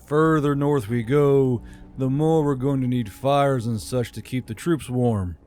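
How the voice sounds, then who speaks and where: Male narrator as stern military leader, guarded, understated and flat; fantasy audiobook, faint music bed. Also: unhurried, natural voice. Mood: serious. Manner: flat, low-key, murmuring. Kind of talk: audiobook character dialogue.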